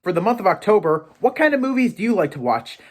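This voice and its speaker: Male show host, higher-pitched voice, theatrical and chatty, playing a professor who addresses viewers directly. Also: big pitch swings, fast. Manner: theatrical, chatty, poses questions to the audience. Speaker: host of a Pokemon education show. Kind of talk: presenter monologue to viewers, playfully in character as a professor.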